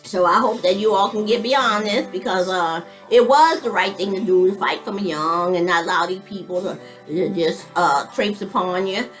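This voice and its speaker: A woman's voice: medium pitch, normal speed, few pauses, faint music behind, poor audio. A woman in her fifties talking to camera in a casual personal monologue, rambling with frequent restarts and folksy, informal phrasing, laughing between thoughts. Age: 50s. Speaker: vlogger.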